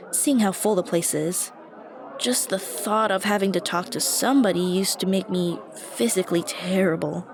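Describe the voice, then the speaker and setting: A woman's voice: medium pitch, natural voice. A woman playing a shy, dorky young stranger in an ASMR roleplay, talking to the listener in a hesitant, self-conscious, rambling way. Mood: earnest, grateful. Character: shy, dorky young woman, a friendly coffee shop regular. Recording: busy cafe chatter behind the voice. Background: coffee shop crowd ambience.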